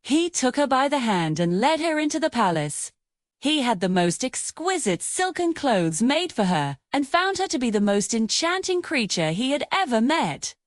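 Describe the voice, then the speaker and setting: A woman with a fairly high voice narrates a fairy tale. Her delivery is measured and dramatic, with lively rises and falls. The recording is dry, with very little room sound. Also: dry recording. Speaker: storyteller.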